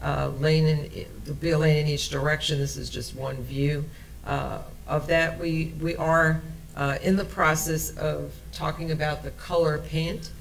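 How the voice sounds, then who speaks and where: Gravelly-voiced woman presenting at a podium. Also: deep voice, steady tone, measured pace, rough recording. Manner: conversational, with many fillers and restarts. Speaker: transit project presenter. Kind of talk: conference presentation. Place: hotel meeting room, indoors. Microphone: podium microphone.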